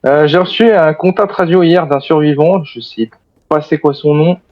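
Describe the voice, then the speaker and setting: A man's voice: a little high, moderate pace, highs cut off, natural voice. A man playing an armed, wary but cooperative soldier survivor in an improvised zombie game roleplay, speaking formally and hesitantly. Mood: explaining, hesitant.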